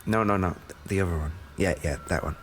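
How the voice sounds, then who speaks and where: A man playing an affectionate, injured racing driver boyfriend in an intimate roleplay audio, speaking softly and tenderly, often close to a whisper. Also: low voice, a little fast, a little hiss or hum, close to an everyday voice. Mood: casual, fond.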